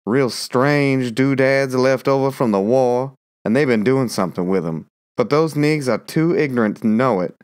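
A woman's voice: extremely low pitch, normal speed, a little mellow, clear, resonant tone, pitched below the performer's own voice. A woman voicing a boastful, scheming man who talks in a brash, folksy way.

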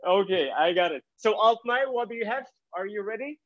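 A young man on a video call, speaking a little high for a man and halting a lot between words. The sound is muffled, like laptop call audio. He is chatting casually from his home kitchen. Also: expressive, a bit bright. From Kazakhstan. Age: young adult. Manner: halting, many fillers and restarts. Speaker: host. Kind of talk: casual cooking and dance chat on a video call. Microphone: laptop webcam on a video call.

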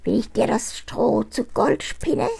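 An old woman doing a high, sly little goblin man. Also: animated, takes it slowly, vocal fry, dark tone, breathy edge, exaggerated voice. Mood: sly bargaining. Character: little sly male goblin spinner, bargaining.

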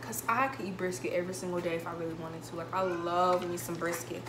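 A woman's voice: on the low side, steady tone, quick pace. Young woman, casual vlog chat, informal and conversational, roomy kitchen sound, noticeable background noise. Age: young adult. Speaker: college student vlogger. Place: small home kitchen, indoors. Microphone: camera a couple of metres away. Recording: echoey room with background noise.

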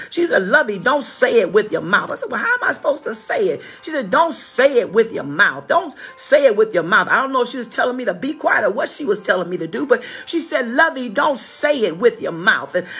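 A middle-aged or older preacher with a husky, raspy voice delivers a fervent, exhortative sermon, repeating phrases emphatically and calling out to listeners with devout exclamations. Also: mid-range voice, a bit bright.

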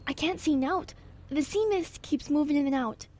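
An eager young lad, played by a woman, speaking very high. He is urgent and excitable, in the manner of a wartime radio play. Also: normal speed, close to an everyday voice. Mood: tense. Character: excitable, urgent wartime English boy, best pal. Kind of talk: radio drama acting.

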